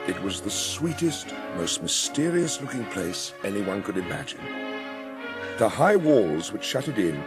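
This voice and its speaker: A man's voice: lowish voice, very animated, unhurried, over loud music. A man narrating an audiobook story in a scripted, storytelling style.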